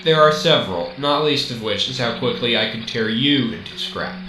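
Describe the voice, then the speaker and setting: A man's voice: mid-range voice, expressive, normal speed, close to an everyday voice. Guy doing cold snooty robot villain, stiff formal talk, radio drama, echoey n noisy. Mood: cool menace. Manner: formal, stilted, condescending. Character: cold, haughty male robot master, menacing and calm. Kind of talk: amateur radio drama acting. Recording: roomy echo, clearly noisy home recording.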